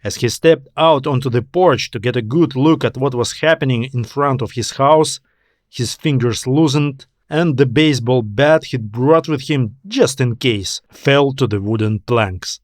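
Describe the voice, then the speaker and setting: A man reading a scripted story as an audiobook narrator, in a measured, deliberate storytelling manner. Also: medium pitch, no room sound, clean studio sound. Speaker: author narrating own story.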